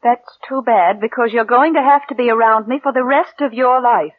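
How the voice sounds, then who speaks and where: An American actress playing a cold, calculating murderess who passes as meek, in controlled, deliberate mid-century radio suspense style. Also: female voice, fairly high pitch, dry recording, close to an everyday voice. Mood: controlled, threatening. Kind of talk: 1940s-50s American radio suspense acting and narration. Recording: muffled vintage radio recording.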